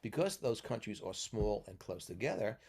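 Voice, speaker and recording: Low-voiced man lecturing in casual chat. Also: expressive, normal speed, gravelly, a little mellow, breathy edge. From New York, US Northeast. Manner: lecturing, tags points with "okay?". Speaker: podcast guest, mentor.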